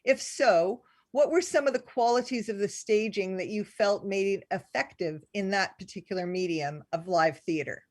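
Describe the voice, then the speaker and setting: A woman's voice: mid-range voice, moderate pace, few pauses, a little mellow, dry recording. A woman moderating a live question-and-answer event, reading out questions and adding comments.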